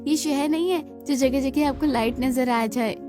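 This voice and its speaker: A frank young village college girl from a cartoon family story, played by a woman. She has a very high voice, set over clear background music. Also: normal speed, close to an everyday voice. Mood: matter-of-fact.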